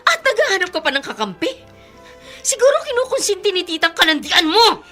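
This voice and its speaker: Furious, sarcastic Filipino elder sister for radio drama, piercingly high, wildly swinging delivery. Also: female voice, big pitch swings, fairly quick, pushed and bright, faint music behind, exaggerated voice. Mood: furious, anguished. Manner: accusing, sarcastic, anguished. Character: furious, wounded elder sister who sacrificed for her sibling.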